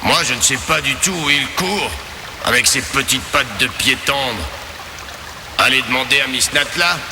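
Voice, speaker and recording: A man's voice: medium pitch, a little slow, background noise, natural voice. A male actor playing a cocky, boorish hired henchman in a video game dub, with a mocking, folksy, slangy way of talking. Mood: taunting.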